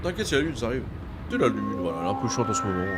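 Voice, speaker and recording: Animated, slangy young French-speaking man. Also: fairly low pitch, very fast, loud music behind the voice. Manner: chatty, slangy, joking. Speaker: streamer reacting to an anime. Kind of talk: casual reaction commentary. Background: anime episode audio.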